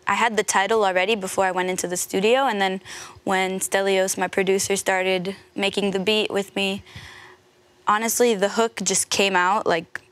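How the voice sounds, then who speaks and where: A young woman from the San Francisco Bay Area, chatting as a singer guest in an interview, laughing easily and saying "like" a lot. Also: mid-range voice. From United States (San Francisco Bay Area). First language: French. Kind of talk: casual interview.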